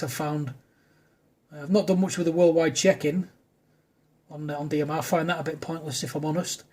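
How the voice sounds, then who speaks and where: Man, amateur radio operator, high raspy voice, informal and matey casual chat. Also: a little mellow.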